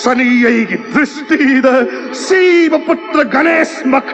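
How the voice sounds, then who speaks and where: An actor playing a proud, vengeful male god in a mythological audio drama, declaiming theatrically with threats and sudden shouts. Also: very high-pitched, racing. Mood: furious, threatening. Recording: dull lo-fi audio with loud music.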